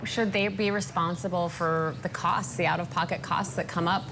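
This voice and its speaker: An American woman journalist putting questions in a news interview, measured and polite but probing. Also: on the low side, steady tone, creaky, background noise.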